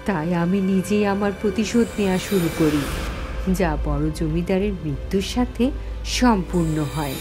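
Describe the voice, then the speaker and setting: A woman's voice: fairly low pitch, a little slow, natural voice. A woman voicing the sorrowful ghost of a young village teacher, telling her story dramatically in a horror cartoon. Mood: anguished. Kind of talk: dramatic first-person horror story narration. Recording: background music under the voice.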